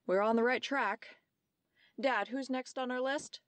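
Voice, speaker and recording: A woman's voice: fairly high pitch, fairly even intonation, unhurried, dry recording, lightly acted. A woman acting in old-time radio style as a determined young detective, polite, formal and precise. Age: young adult.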